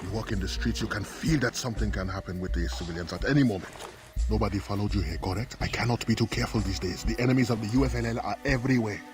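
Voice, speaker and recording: An actor playing a brash, suspicious militia boss in a video game, blunt and swaggering. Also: male voice, fairly low pitch, fast talker, close to an everyday voice. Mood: wary. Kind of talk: video game cutscene acting. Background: music.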